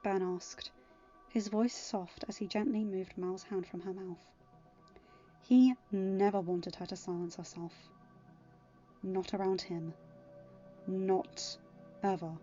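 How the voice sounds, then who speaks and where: A woman reading scripted fiction as an expressive storyteller. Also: medium pitch, takes it slowly, long pauses. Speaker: fan fiction narrator. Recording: dull lo-fi audio. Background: faint music.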